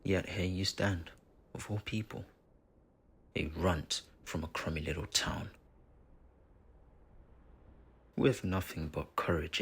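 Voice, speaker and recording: A dark possessing spirit acted by a man speaks very low with a heavy, creaking rasp. The delivery is husky and theatrical, taunting and deliberate, with dramatic pauses. It is a menacing, bitterly obsessive villain from a fantasy audio drama. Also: heavily acted. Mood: mocking contempt. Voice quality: gravelly, husky.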